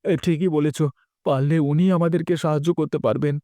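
Man acting a high-voiced, pleading, distraught village father. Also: steady tone, a little fast, dark tone, no echo, studio quality, lightly acted. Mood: anguished, remorseful. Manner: pleading, lamenting. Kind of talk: cartoon dialogue acting.

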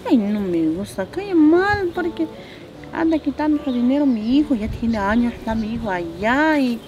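A woman's voice: fairly high pitch, big pitch swings, normal speed, warm tone, highs cut off. A woman playing a complaining, disapproving mother. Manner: complaining, gossiping. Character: disapproving mother of an absent son. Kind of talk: amateur web drama acting. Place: outdoors on a grassy lot.

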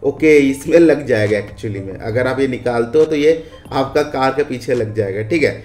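An Indian man with a mid-pitched voice gives casual game commentary. He talks conversationally, as a host showing viewers around menus, and often tacks on short checking phrases. The recording has a roomy echo. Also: normal speed, a little mellow, faint music behind. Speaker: gaming video creator and host. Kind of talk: casual screen-capture commentary on game updates.

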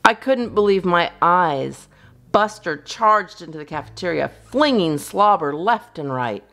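A woman reading a children's storybook aloud as a storyteller, in an expressive, animated style. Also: fairly low pitch, measured pace. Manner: expressive, acts out dialogue. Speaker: storyteller, channel host.

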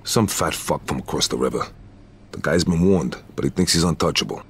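An actor playing a hard-boiled American mob hitman, cold-blooded, terse and deadpan, with a rough, deep voice. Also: brisk, heavily acted. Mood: matter-of-fact.

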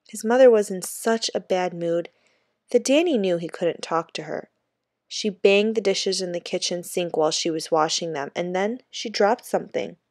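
A woman reading a children's story aloud like a teacher, in a measured, expressive narrating manner. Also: fairly low pitch, dry recording, clean studio sound.

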